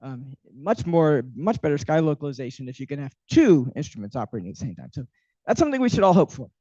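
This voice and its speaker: A man's voice: on the high side, sing-song, fast talker, warm tone, no echo. Man with a fading voice. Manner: hesitant, many ums and restarts. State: losing his voice. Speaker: lecturer. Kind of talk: academic workshop talk. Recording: dull, muffled lecture audio.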